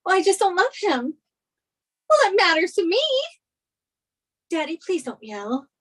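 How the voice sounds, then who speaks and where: A woman's voice: extremely high pitch, very animated, a little slow, fairly bright tone, heavily acted. A woman playing a flustered, anxious young runaway bride in a sitcom table read. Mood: pleading.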